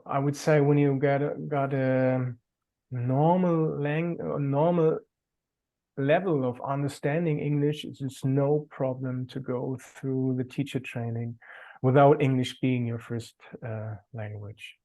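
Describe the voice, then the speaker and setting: A man's voice: medium pitch, flat delivery, a little slow, warm tone, poor audio. A man with a German accent, a native German speaker, talking earnestly as a qigong teacher on a video call, sometimes searching for words.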